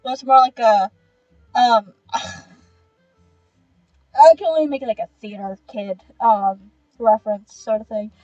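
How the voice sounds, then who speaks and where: High-pitched, excitable woman game streamer. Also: unhurried, long pauses, no echo, dull audio, faint music behind. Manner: excitable, exclamatory, swears casually. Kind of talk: casual reaction commentary while playing a story game.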